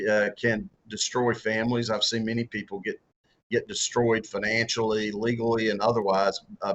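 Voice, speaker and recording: A man's voice: mid-range voice, a bit bright, highs cut off. A middle-aged man with a strong Southern US accent who answers interview questions about faith and leadership, stumbling and repeating words with many fillers. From Deep South of the US. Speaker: author and former political candidate.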